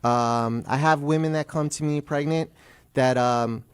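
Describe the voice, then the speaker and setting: An American man, an attorney guest on a radio interview, explaining things in plain terms with halting self-corrections. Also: a little high, fairly even intonation, smooth.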